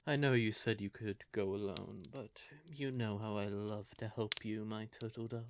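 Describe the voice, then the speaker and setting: A man playing a courtly, possessive vampire lover in an audio roleplay, speaking theatrically and deliberately with syrupy endearments and breathy, quietly menacing undertones. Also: on the low side, fairly even intonation, noisy background, close to an everyday voice. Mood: tender, guarded. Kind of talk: scripted audio roleplay addressed to the listener.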